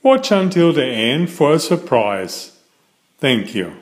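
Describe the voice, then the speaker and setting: An older man reading aloud as a children's storyteller, with slow, deliberate, expressive phrasing. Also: a little high, roomy sound. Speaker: children's book reader and host.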